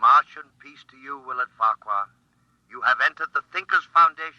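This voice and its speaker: An actor playing a formal, placid automated greeter in a 1950s radio drama. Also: male voice, mid-range voice, a little fast, no echo. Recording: dull, loud hum and radio noise.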